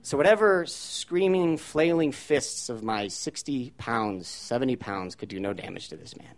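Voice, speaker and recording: A man telling a personal story live on stage, shifting between loud, charged lines and hushed, poetic ones. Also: mid-range voice, expressive, a little slow. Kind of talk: live spoken-word storytelling.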